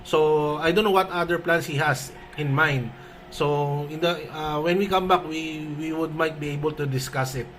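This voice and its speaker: A man with a Filipino accent speaking haltingly in an amateur radio conversation, often repeating words. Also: a little high, a bit bright, a little hiss or hum, poor audio. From Philippines.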